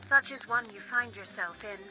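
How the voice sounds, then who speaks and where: A woman's voice: fairly high pitch, moderate pace, pushed and bright, poor audio. A woman playing a polished presenter, narrating a scripted corporate orientation video in a formal way. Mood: formal, welcoming. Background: music.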